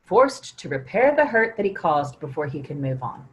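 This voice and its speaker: A wry, teasing female coworker, acted by a woman in a staged reading. Her voice is a little low and lively in pitch, and she sees through people. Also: normal speed, slight background noise. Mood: musing.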